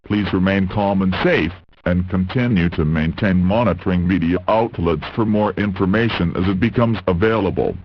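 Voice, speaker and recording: A man reading a scripted emergency alert as an announcer, in a formal, measured manner. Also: low voice. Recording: muffled lo-fi radio broadcast sound.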